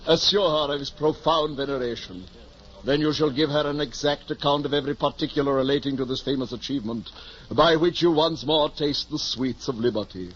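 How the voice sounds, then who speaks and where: An actor playing a deluded, pompous ageing knight-errant in 1950s radio drama, speaking in lofty, archaic oratory. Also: male voice, fairly high pitch, a little fast, natural voice. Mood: grandiose, commanding. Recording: muffled, noisy old radio recording.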